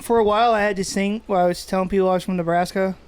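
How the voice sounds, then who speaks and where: Casual co-host, male, relaxed rambling delivery with occasional smoker's cough. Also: high voice, very fast, slight background noise. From Texas. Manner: laid-back, swearing, rambling with restarts, coughing while smoking. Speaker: podcast co-host. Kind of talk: casual chat. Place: indoors, seated smoking.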